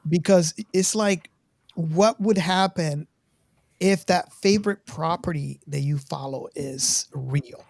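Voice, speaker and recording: A woman in her fifties hosting a casual film discussion, speaking haltingly and often repeating words as she goes. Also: low voice, creaky, a little mellow, no echo, studio quality. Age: 50s. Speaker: podcast host.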